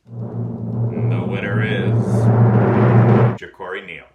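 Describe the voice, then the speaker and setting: Awards presenter, man, very deep voice, earnest and measured, recorded far off in an echoey room. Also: phone-quality sound, loud music behind the voice. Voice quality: low voice. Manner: earnest, measured advice with many pauses. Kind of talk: prepared speech to drama students. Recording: echoey, distant mic.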